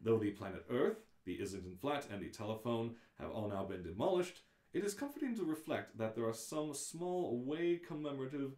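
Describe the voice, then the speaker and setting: A man's voice: mid-range voice, animated, a little fast, a little mellow. A man with a mid-range voice reads a script aloud in an echoey room. He narrates casually, stumbles over words and breaks off to comment on what he is reading. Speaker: host and narrator of a live read-through.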